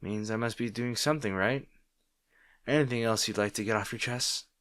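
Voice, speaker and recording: A man's voice: fairly low pitch, moderate pace, fairly bright tone, smooth, no echo, studio quality, lightly acted. A man playing a wry, insecure, secretly fond supervillain rival in a roleplay audio drama, talking to the listener in a hesitant, conversational, sardonic way. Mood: surprised, touched.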